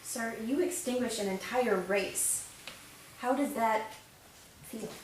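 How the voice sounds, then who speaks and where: A woman's voice: on the high side, takes it slowly. Woman acting a probing interviewer, very echoey. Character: probing female interviewer questioning a war survivor. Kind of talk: improvised fan-film dialogue. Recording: very echoey, distant mic, faint hiss.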